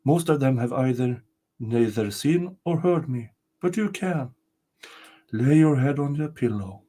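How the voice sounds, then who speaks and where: A man voicing a calm, solemn female spirit from a storybook folktale, speaking in a measured way. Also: mid-range voice, pitched above the performer's own voice.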